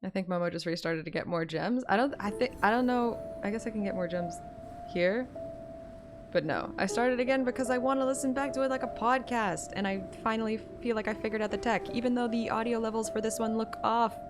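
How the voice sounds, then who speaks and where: A woman's voice: fairly high pitch, brisk, noisy background. A woman streaming a story game, moving between playful, self-mocking chat and dramatic read-aloud narration. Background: game music.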